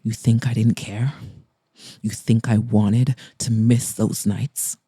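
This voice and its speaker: A woman voicing a weary, brooding man in a romance audiobook, with a low raw voice and a restrained, intense manner. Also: little pitch movement, slow, dark tone, breathy edge, no echo, clean studio sound, full character voice. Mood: raw, frustrated. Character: weary, brooding man, a workaholic former lover.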